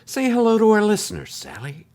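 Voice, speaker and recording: A man's voice: sits high, huge pitch swings, a little fast, darkish tone. A man playing a chatty, folksy podcast host, speaking theatrically in scripted comedy. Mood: showy, welcoming.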